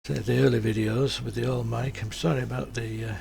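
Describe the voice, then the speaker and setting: A soft-spoken middle-aged man, chatting casually and rambling through a workshop build, with sweary asides and mumbling as he works on a home-made mic. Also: mid-range voice, fairly even intonation, brisk, vocal fry. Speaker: maker. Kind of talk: casual workshop chat while assembling. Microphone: home-made microphone.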